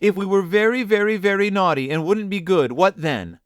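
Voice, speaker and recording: A man's voice: high voice, moderate pace, no room sound, studio quality, voice pushed up, close to an everyday voice. A man voicing an earnest, pleading little girl in a storybook, plaintive and childlike.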